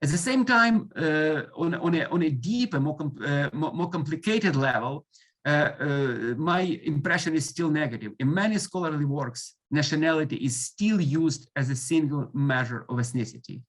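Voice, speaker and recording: Halting, filler-heavy male academic lecturer. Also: fairly high pitch. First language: Ukrainian. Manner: halting, many fillers and restarts. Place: online lecture, video call. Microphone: computer mic on a video call.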